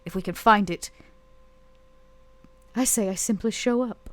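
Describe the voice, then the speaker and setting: A woman roleplaying a proud, guarded, bitter vampire woman in a tabletop game, speaking curtly and deliberately with intense pauses. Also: medium pitch, dry recording, lightly acted. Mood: determined, grim.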